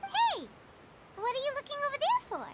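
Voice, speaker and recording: A woman's voice: extremely high pitch, huge pitch swings, moderate pace, heavy background noise, full character voice. A woman voicing a tiny, chatty, curious fairy companion who talks about herself in the third person, with game music underneath.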